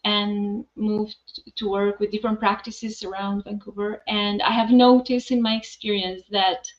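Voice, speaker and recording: Woman, Albanian accent, middle-aged, casual interview guest, medium pitch, muffled lo-fi audio. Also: little pitch movement, unhurried. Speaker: podcast guest, accountant.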